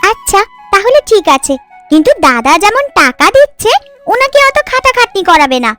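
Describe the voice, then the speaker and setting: A woman voicing a caring, practical village housewife in a cartoon, homely and gently fussing. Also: extremely high pitch, sing-song, normal speed, soft background music, exaggerated voice. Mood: concerned, caring. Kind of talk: cartoon story dialogue.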